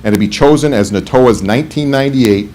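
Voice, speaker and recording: A man's voice: mid-range voice, a little fast, dark tone. Man reading panel introductions. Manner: formal introductions with frequent fillers. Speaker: panel moderator. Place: conference room.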